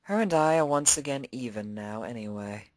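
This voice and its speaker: A woman's voice: a bass voice, animated, moderate pace, a little mellow, dry recording, voice pushed down. A woman voicing a refined, prim female pony cellist with polite, formal phrasing, in a fan fiction story reading. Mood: polite, composed.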